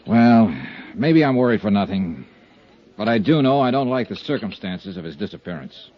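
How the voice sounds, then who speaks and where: Tough brisk man, old radio drama. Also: fairly low pitch, lively intonation. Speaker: insurance investigator lead in a radio drama. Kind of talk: 1950s radio drama acting and narration. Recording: dull old radio recording.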